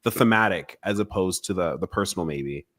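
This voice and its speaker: A man's voice: low voice, a little fast, fairly bright tone. A man hosting a podcast in a casual conversational style, musing informally and rambling a little through his explanations. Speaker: podcast host.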